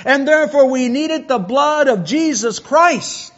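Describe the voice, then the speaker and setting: A man's voice: very high voice, animated, a little slow, a bit bright, highs cut off. A man preaching a sermon who often repeats words as he builds a point.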